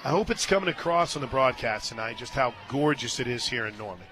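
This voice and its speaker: A man doing live radio play-by-play of a softball game, mixing pitch calls with folksy, joking asides. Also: expressive, fast talker, a crowd in the background, background noise. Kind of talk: live softball radio commentary with casual banter. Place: outdoor stadium press booth.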